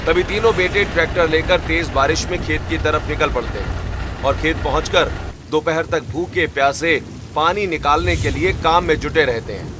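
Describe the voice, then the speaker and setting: A man's voice: fairly high pitch, moderate pace, noisy background. A man giving scripted narration of a story as its narrator.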